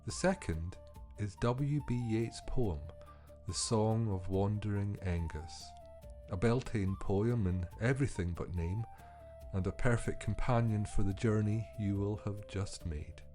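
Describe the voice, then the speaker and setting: A man with a deep, mellow voice narrates a guided meditation at a very slow pace. He is soothing and measured, leaving pauses between phrases. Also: faint music behind. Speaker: podcast host and meditation guide.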